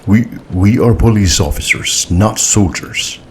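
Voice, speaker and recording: A man's voice: lowish voice, a little slow, darkish tone, slight background noise, close to an everyday voice. A man voicing a stiff, protesting police inspector in an audiobook, formal in manner. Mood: defensive. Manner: formal and objecting. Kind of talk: audiobook character dialogue.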